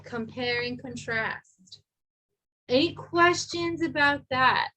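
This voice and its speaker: Bright slow-spoken woman teacher, encouraging. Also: high-pitched, a little hiss or hum, poor audio. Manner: patient, encouraging, models words slowly. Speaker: English teacher. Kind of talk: teaching an adult English class.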